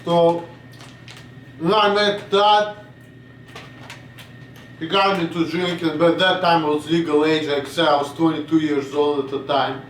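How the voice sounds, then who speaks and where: A man's voice: a little high, fairly even intonation, unhurried, pauses often, echoey room, recorded from a distance, a little hiss or hum, poor audio. A man in his late twenties from Russia, with a Russian accent, rambling bluntly in a casual vlog and swearing now and then. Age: 20s. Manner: blunt, swearing, drifts off topic. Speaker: vlogger.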